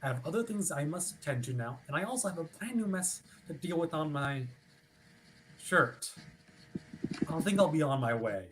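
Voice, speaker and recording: Woman acting stiffly polite impatient official type, low voice, swings around a lot, stage play reading. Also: lively intonation, moderate pace, slight background noise, poor audio. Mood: irritated. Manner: clipped, stiffly polite, dismissive. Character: curt, formal authority figure named Watch, impatient and evasive.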